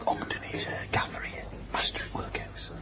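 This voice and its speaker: An actor playing an earnest, defiant young working-class man with a Northern English accent in a period radio drama, often dropping into breathy whispers. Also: normal speed, bright, forceful tone, lightly acted. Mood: anxious, hushed.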